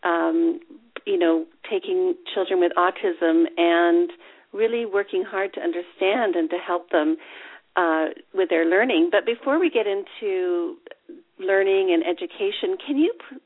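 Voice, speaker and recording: A woman's voice: on the low side, a little slow. A woman hosting a podcast interview from her office, talking in a chatty, upbeat way with frequent um and uh fillers. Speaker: podcast host. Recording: tinny phone line.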